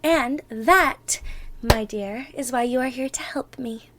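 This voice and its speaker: High-voiced, chatty young girlfriend played by a woman. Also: slow, noisy background. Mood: playfully bossy. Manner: chatty, playful, gently bossy, thinking aloud. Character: bubbly, bossy, affectionate young girlfriend baking for Thanksgiving. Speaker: roleplay audio performer. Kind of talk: girlfriend ASMR roleplay, one-sided conversational acting.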